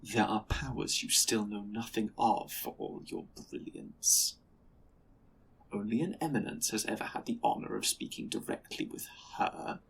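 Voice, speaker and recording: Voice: mid-range voice, moderate pace, gravelly, a little hiss or hum, natural voice, poor audio. An actor playing an imperious, condescending superior in a sci-fi audio drama, speaking in a formal, commanding, disdainful way. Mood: angry, scornful. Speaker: guest voice actor. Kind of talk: sci-fi audio roleplay acting.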